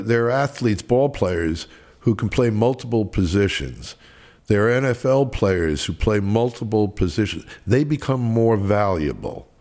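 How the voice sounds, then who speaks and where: An older man with a very deep voice speaks slowly as a podcast guest. He hesitates often and pads his speech with fillers. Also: darkish tone.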